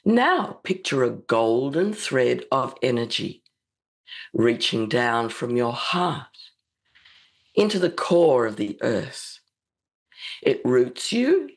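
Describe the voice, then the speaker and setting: A woman with a slightly croaky voice hosting a psychic radio show and leading a slow, soothing guided meditation. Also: extremely low pitch. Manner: slow, soothing, gently spiritual.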